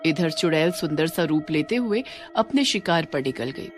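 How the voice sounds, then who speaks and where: A woman's voice: low voice, animated, moderate pace, faint music behind. A woman reading a scripted horror story as its narrator.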